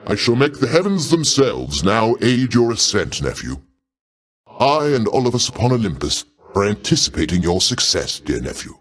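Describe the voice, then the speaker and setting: A man's voice: fairly low pitch, sing-song, moderate pace. A man voicing a mighty older god king for a video game, a genial, doting uncle with grand, courtly old-fashioned diction. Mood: grand, encouraging. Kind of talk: theatrical video game voice acting.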